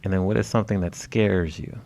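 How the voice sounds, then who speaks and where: A man hosting a podcast, asking questions in a relaxed, casual way. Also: deep voice, a little fast, creaky, a little hiss or hum. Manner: relaxed, laughs easily, asks questions. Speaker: podcast host. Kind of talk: casual interview chat.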